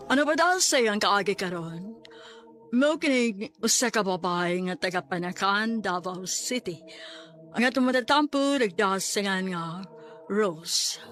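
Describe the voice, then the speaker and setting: A woman's voice: mid-range voice, expressive, a little slow. A woman announces a radio drama in a mid-range voice with a Visayan accent. She reads scripted narration, with faint music behind her. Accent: Filipino, Visayan.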